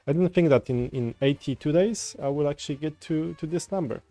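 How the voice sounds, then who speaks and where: Rambling Polish man with a mellow voice. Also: a little high, a little fast. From Poland. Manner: rambling, frequent restarts, chatty with viewers. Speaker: coding livestreamer. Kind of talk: casual livestream chat while coding. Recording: faint music in background. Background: soft folk music.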